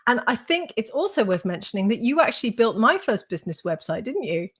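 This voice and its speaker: A woman's voice: on the high side, big pitch swings, fairly quick, a bit bright, slightly rough. Upbeat woman hosting a video call. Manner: upbeat, chatty, welcoming. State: slightly hoarse from a cold. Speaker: host and school founder. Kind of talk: live-stream interview. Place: home room with shelves. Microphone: webcam on video call.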